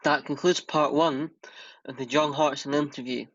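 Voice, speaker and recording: A man's voice: fairly high pitch, steady tone, moderate pace, a bit bright, no echo, lo-fi sound. A man asking short interview questions and signing off the episode. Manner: brief, plain questions and sign-off. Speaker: interviewer, channel host.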